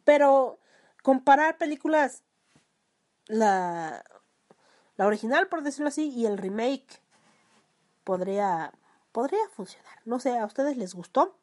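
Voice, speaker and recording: A young Mexican woman with a high, bright voice rants on her solo podcast. She swears freely, talks casually and crudely, and her throat sounds sore from talking so much. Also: lively intonation, measured pace, pauses often. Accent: Mexican Spanish. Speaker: podcast host.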